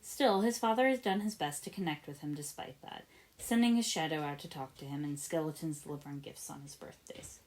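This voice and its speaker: Low-pitched woman narrating a story. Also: brisk, a little mellow, poor audio. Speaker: amateur audiobook narrator of fan fiction. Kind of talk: expressive scripted story narration.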